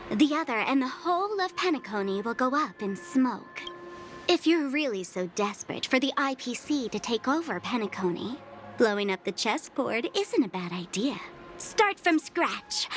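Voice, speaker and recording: A woman's voice: on the high side, big pitch swings, a little slow, a bit bright, slight background noise. An actress playing a playful, dangerous female trickster in a video game, coy and taunting in a sing-song way. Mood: mocking, playful. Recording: music under the voice.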